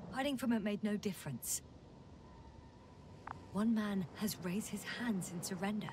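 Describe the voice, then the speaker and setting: An actress playing a tense, shaken astronaut exploring a planet in a video game, speaking in a hushed, halting, measured way. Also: female voice, mid-range voice, recorded from a distance, background noise, close to an everyday voice. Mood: horrified, hushed. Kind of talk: dramatic game dialogue.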